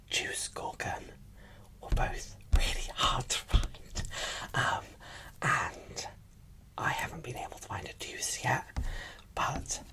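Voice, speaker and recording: An ASMR host speaking in a breathy whisper, giving casual unboxing commentary slowly and softly. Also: extremely high pitch, recorded from a distance. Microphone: microphone set off to one side.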